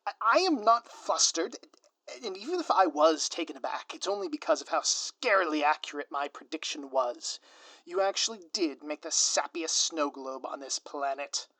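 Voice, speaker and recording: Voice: mid-range voice, animated, normal speed, sharp tone, dry recording. A performer voicing a scheming, smug ex-supervillain spouse in a scripted audio roleplay, with a theatrical, sardonic, grandiloquent manner. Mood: flustered, indignant. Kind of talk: scripted audio roleplay monologue addressed to listener.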